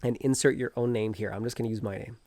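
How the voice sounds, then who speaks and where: A man with a stuffed-up nose from a cold talks straight to his viewers in an earnest, encouraging coaching tone. His voice sits at an ordinary middle pitch. The sound is dry and close, with almost no room around it. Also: fairly even intonation, fast, vocal fry, warm tone, no room sound. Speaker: energy healer and coach. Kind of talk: encouraging self-help video talk. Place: outdoors.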